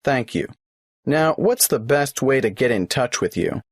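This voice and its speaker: A man's voice: mid-range voice, expressive, a little slow, no room sound, studio quality. A man playing a tax office clerk in a scripted exam dialogue, patiently asking form questions in a matter-of-fact way. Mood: businesslike. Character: polite adult male government clerk taking an application.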